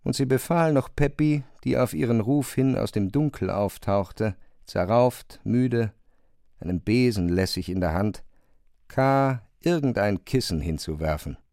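A man narrating a literary radio drama from a script, in a measured, articulate storytelling manner. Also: medium pitch, dark tone, no room sound, clean studio sound.

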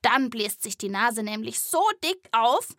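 A woman's voice: fairly high pitch, animated, a little slow, fairly bright tone. A woman hosting a children's podcast, explaining things in playful, colloquial scripted narration.

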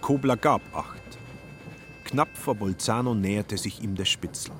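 A man's voice: on the low side, a little slow, fairly bright tone, faint music behind. A man reading scripted literary narration for a radio drama.

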